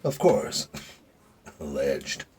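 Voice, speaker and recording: An actor playing a dying retired Secret Service agent in an audio drama, older, gruff and folksy, weary and confiding, with dry humor. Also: male voice, rumbling low, huge pitch swings, very slow, a little mellow, full character voice. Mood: wry.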